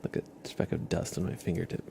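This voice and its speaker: A man hosting an ASMR stream, speaking softly and often in a whisper, gently and casually as if chatting with viewers. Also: fairly quick, noisy background. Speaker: ASMR livestream host. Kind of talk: ASMR, soft-spoken and whispered.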